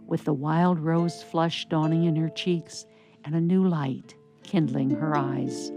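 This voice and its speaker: A woman narrating a scripted story for radio theatre in a measured storytelling manner. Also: low voice.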